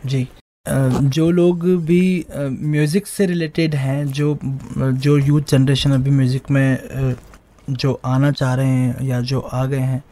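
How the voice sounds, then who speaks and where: A young man from India, a singer giving a radio interview and offering earnest, gently emphatic advice in casual talk. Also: mid-range voice, flat delivery, slow. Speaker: singer, guest. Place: radio studio.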